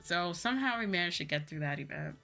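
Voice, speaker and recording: A young adult woman streamer telling personal stories in a casual, animated, giggly chat style. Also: lowish voice, quick pace, gravelly. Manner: animated retelling with acted-out quotes and frequent giggles. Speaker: streamer, VTuber host. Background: background music.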